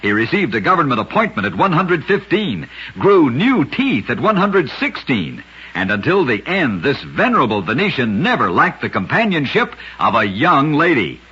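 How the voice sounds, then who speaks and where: A man's voice: medium pitch, very animated, normal speed, pushed and bright, husky. A man with an American accent reads a scripted vintage radio narration as host, with a dramatic storyteller delivery. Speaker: radio host and narrator of short true oddities. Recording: dull lo-fi vintage radio recording.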